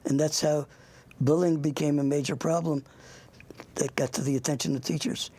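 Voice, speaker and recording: An older interviewer with a gravelly, husky voice, chatting informally and haltingly with a young guest, often rambling into anecdotes. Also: mid-range voice, fairly even intonation, dark tone, no room sound. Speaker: interviewer, show host.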